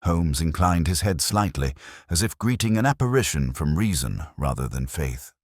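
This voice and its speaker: A man narrating a scripted mystery story as an audiobook, in a measured, literary and solemn manner. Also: very deep voice, lively intonation, no room sound, clean studio sound.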